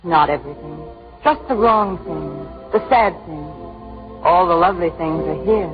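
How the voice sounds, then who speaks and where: Actress as a wistful romantic heroine, muffled recording. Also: female voice, on the low side, close to an everyday voice. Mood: tender, wistful. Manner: wistful, poetic, measured. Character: wistful, romantic young woman on the run, bravely resigned. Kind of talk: 1940s romantic radio drama acting. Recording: muffled 1940s radio recording with music.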